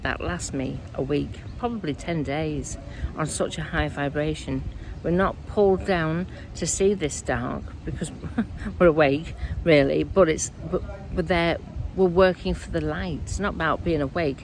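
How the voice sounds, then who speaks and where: A woman speaking as a spiritual medium in a casual, earnest, rambling monologue, her voice croaky and dry. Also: on the low side, slight background noise. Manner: earnest, rambling, self-correcting.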